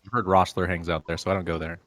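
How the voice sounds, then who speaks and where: A man with a low voice co-hosts a casual sports podcast. He speaks in a relaxed, easy way and puts questions to the guest. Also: rapid-fire, dry recording. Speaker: podcast co-host.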